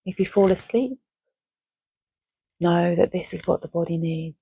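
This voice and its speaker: A woman with a lowish, soft-toned voice leads a guided relaxation. She is gentle and unhurried, pausing between short phrases. The sound is dry and close, with no room around it. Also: slightly rough, no room sound, poor audio. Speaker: meditation guide. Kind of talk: guided Yoga Nidra relaxation, soothing and measured.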